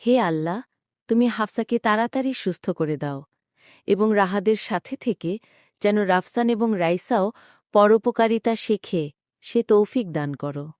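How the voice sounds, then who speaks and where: A woman playing a kind, caring schoolteacher in a cartoon, gentle and earnest in manner. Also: mid-range voice, lively intonation, normal speed, no echo, studio quality, natural voice. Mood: prayerful. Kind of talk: cartoon voice acting.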